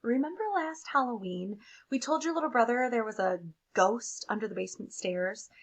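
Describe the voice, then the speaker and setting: A woman's voice: on the high side, normal speed. A woman voicing a timid, terrified crayon with a nervous, hesitant storybook delivery. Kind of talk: expressive picture-book read-aloud.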